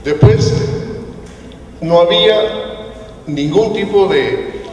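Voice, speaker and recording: A man's voice: high-pitched, takes it slowly, highs cut off. A middle-aged man with a Honduran Spanish accent gives a didactic public talk, telling of his own experience as a microbiologist. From Puerto Cortés, Honduras. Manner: didactic, checks in with "¿verdad?". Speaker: microbiologist presenting at a food safety event. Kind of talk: public talk with personal anecdotes. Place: large echoing room with an audience. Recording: very echoey hall, distant mic, faint noise.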